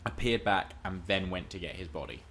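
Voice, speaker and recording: A man's voice: low-pitched, a little fast, a bit bright. A man co-hosting a podcast, discussing film and TV casually in an emphatic, analytical, argumentative way. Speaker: podcast co-host.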